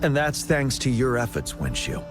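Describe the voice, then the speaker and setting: A man's voice: mid-range voice, big pitch swings, close to an everyday voice. Casting: male actor as young game commander, courteous, dutiful, formal yet varied intonation, music behind. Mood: polite gratitude. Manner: formal, polite, measured. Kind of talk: video game voice acting.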